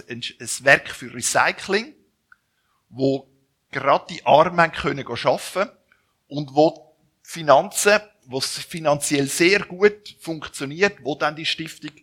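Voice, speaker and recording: Zurich-accented man, bright halting delivery. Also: fairly high pitch, slightly rough. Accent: Zurich Swiss German. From Zurich, Switzerland. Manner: halting, with restarts and self-corrections. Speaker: missionary speaker. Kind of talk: personal introduction to a church audience.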